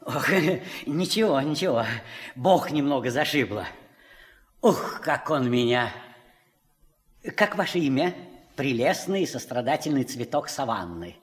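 A man playing a theatrical, gallant gentleman in a radio play, pompous and flowery in his speech. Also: medium pitch, big pitch swings, a little slow, big-room sound. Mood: gallant, groaning.

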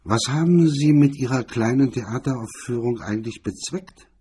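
Man playing a husky, sardonic hardboiled detective. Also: mid-range voice, normal speed, warm tone, voice pushed up. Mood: commanding. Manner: casual, sardonic. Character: cool, sardonic male hardboiled investigator with a gun.

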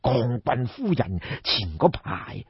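Man acting a weary old king, husky and drawn-out. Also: medium pitch, wildly swooping pitch, voice pushed down, full character voice. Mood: weary, ailing. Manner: weary, sighing, marvelling. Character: aging, ailing, credulous king of ancient China. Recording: dull, roomy audiobook recording.

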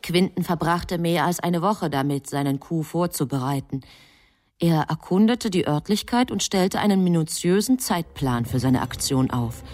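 A woman's voice: sits low, lively intonation, moderate pace, a little mellow, no echo, studio quality. A woman reading scripted literary narration as the narrator of a radio drama.

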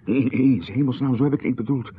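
An actor playing a polite, nervously apologetic young artist in a radio drama, hesitant and stammering. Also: male voice, mid-range voice, rapid-fire, slightly rough, natural voice. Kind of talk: 1990s radio drama acting. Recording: lo-fi radio broadcast, phone-like band.

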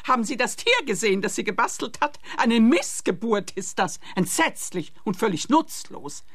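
A woman's voice: a little high, animated, moderate pace, a bit bright, dry recording, studio quality. An actress playing a dismissive, scoffing mother of a grown son in a comic radio play, emphatic and indignant. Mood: scornful disgust.

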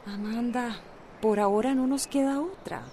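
An actress in a radio soap opera playing a practical, frank friend. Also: female voice, a little high, normal speed, dark tone, a little hiss or hum, lightly acted. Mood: matter-of-fact. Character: practical, frank woman friend handling legal matters.

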